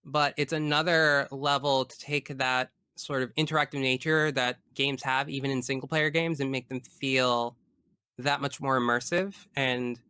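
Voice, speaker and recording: A man's voice: medium pitch, fairly even intonation, moderate pace, bright, forceful tone, smooth, dry recording, clean studio sound. A man in a casual podcast discussion as the guest, explaining his views earnestly and analytically at length.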